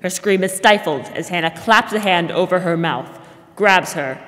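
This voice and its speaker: Low-voiced young woman narrator, reverberant hall. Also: normal speed, a bit bright. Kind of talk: scripted narration of stage directions. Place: stage of a school theater. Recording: reverberant hall, faint noise.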